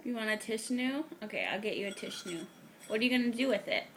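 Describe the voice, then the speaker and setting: Young mom teasing her kid at home, bouncy high voice, noisy home video. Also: lively intonation, fast talker. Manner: playful, teasing questions. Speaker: mother filming her toddler. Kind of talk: home video chat with a child.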